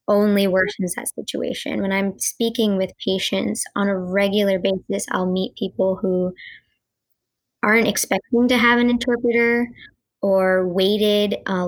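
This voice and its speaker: A woman's voice: mid-range voice, poor audio. A twenty-year-old American woman from North Carolina, a student giving a personal account as an interview guest. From North Carolina, USA.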